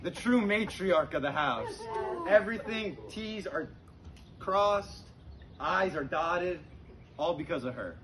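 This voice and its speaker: A young man telling stand-up comedy stories to an audience in a conversational, halting way, with pauses. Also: sits high, lively intonation, crowd noise. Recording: very noisy live comedy recording with clicks. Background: audience laughter.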